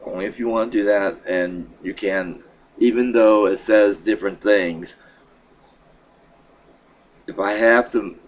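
A middle-aged man with a froggy throat, casually talking to his webcam at home in a rambling, halting way. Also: lowish voice, steady tone. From Pennsylvania. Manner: rambling, halting, with many fillers and restarts. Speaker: vlogger. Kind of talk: casual vlog update. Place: small room in a home, indoors. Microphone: webcam at desk.

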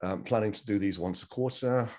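A man's voice: lowish voice, a little fast, a little mellow. A man hosting an online meeting on a video call, welcoming and chatting informally with participants. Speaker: webinar host and moderator. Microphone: video call microphone.

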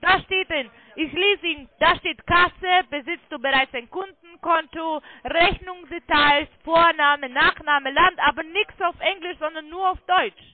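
High-pitched, insistent woman on a phone line. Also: measured pace, hardly any pauses. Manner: insistent, condescending. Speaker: call centre sales agent. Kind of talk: phone sales call.

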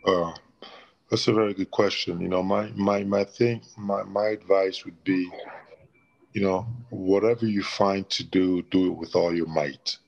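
Deep-voiced congolese-born painter guy, pretty monotone, restarts a lot while he thinks. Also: measured pace, gravelly, lo-fi sound. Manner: thoughtful, with many restarts and fillers. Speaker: painter and former basketball player. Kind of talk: remote interview answers. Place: small plain room indoors.

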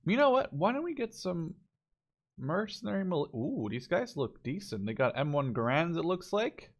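A man's voice: fairly high pitch, sing-song, moderate pace. A man giving casual, unscripted commentary on a game as he plays, informally thinking aloud. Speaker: gameplay video host.

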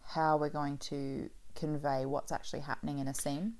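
A woman with a low-pitched voice co-hosts a podcast. Her talk is casual and reflective, as if thinking things through aloud. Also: level tone, normal speed, a little mellow. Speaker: podcast co-host.

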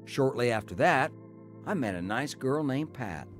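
A man's voice: mid-range voice, lively intonation, a little slow, faint music behind, close to an everyday voice. A man playing a disillusioned young husband and father in a radio drama, both narrating and talking in scenes. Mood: reflective, regretful. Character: disillusioned young husband and father recalling his troubled life. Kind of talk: radio drama acting with first-person narration.

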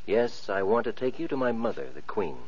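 A man's voice: mid-range voice, brisk, gravelly, darkish tone, slightly rough. A man playing a gallant young prince, courtly and commanding, in a 1940s radio play. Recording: muffled old radio broadcast.

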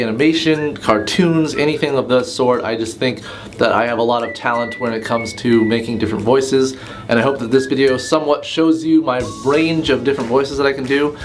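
A man in his twenties, a vlogger and entertainer, talking casually to camera. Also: mid-range voice. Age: 20s. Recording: music playing underneath.

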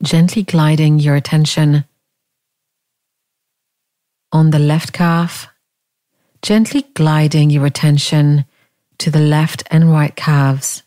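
Female meditation guide: calm, gentle, very slow delivery with generous pauses, warm and relaxed tone. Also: low voice. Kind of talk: guided body scan meditation.

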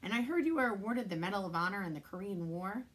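A woman's voice: expressive, fast talker, husky. A woman voicing a nervous, eager teenage girl who babbles and hesitates. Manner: babbling, hesitant, high and bright.

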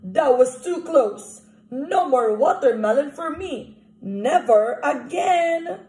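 A woman voicing an excitable, fretful crocodile from a picture book, with dramatic, drawn-out exclamations. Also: high voice. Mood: relieved, resolute. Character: excitable, fretful watermelon-loving young male crocodile. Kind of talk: picture-book character reading. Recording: echoey room recording.

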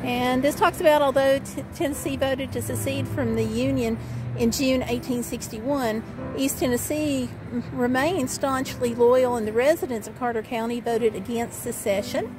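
A woman's voice: high voice, fairly even intonation, moderate pace, music in the background, rough recording. A middle-aged woman narrating an informal sightseeing tour as a travel vlogger, reading out signs and pointing out sights. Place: outdoors on small-town streets at night. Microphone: handheld camera at arm's length.

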